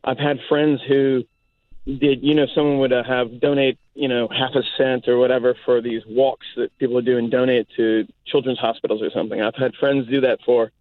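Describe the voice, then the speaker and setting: Cajun-French-speaking caller on a tinny phone line. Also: male voice, medium pitch, flat delivery, a little fast, no room sound. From South Louisiana. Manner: rambling, with many ums and uhs. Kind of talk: call-in radio chat.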